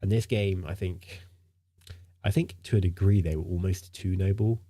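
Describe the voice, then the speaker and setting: Guy with a head cold, husky and dark, chatting on stream, lots of ums. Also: sits low, moderate pace. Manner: rambling, many fillers and repeats. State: nasal from a cold. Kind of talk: casual live-stream chat.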